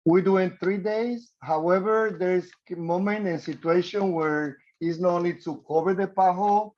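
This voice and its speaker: A man from the Republic, a transportation official giving earnest, halting testimony at a council hearing. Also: sits high, steady tone, darkish tone, highs cut off. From Dominican Republic. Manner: earnest, halting, repeats words.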